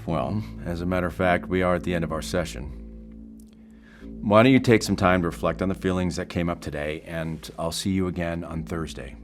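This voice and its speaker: An actor as a calm, patient therapist, a man with a very low voice. He speaks in a measured, clinical but reassuring manner for an audio drama, over faint music. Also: close to an everyday voice. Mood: gentle, professional. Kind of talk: naturalistic audio drama acting.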